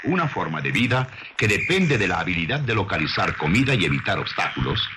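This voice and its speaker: A man narrating a scripted science documentary in a measured, formal, didactic manner on an old soundtrack. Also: sits low, big pitch swings, noisy background.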